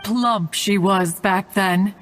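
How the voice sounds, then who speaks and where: A woman's voice: mid-range voice, slow pace, background noise, natural voice. A woman playing an ancient, haughty but fond adeptus spirit in a video game, speaking in formal, archaic, stately phrases. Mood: fondly teasing. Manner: formal archaic diction, calls herself "one", stately. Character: ancient, haughty female adeptus bird spirit, fondly teasing. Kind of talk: scripted video game dialogue. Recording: game audio with music behind. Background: game music.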